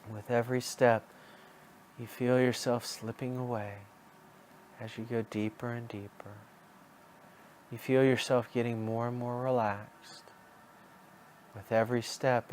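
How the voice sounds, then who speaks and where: A man with a mid-pitched voice leads a guided imagery session. He narrates like a hypnosis practitioner, soothing the listener. Phrases come back again and again in a hypnotic pattern. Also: a little mellow, slight background noise. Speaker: guided relaxation storyteller.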